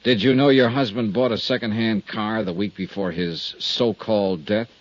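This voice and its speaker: An actor playing a cool, persistent insurance investigator who narrates dryly in a 1950s radio detective drama. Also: male voice, on the low side, moderate pace, natural voice. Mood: accusing. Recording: dull 1950s radio recording, music.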